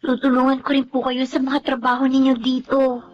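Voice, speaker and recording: A woman's voice: sits high, completely flat delivery, a little fast, highs cut off, close to an everyday voice. An actress playing a dutiful young woman student, the maid's daughter, in a radio drama. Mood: reassuring. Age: young adult.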